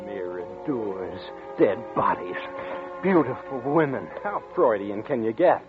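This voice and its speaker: Haunted young man, tense brooding narration, lively pitch, old 1940s radio drama, thin tinny sound. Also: unhurried, over loud music. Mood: uneasy. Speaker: narrator and lead character.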